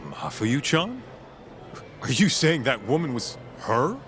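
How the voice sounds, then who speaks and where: A man's voice: on the high side, sing-song, takes it slowly, fairly bright tone, heavily acted. An actor playing a streetwise, nosy male detective sidekick in a video game, laid-back, blunt and slangy. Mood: curious, surprised. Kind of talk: English game dub cutscene acting. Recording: music clearly behind the dialogue.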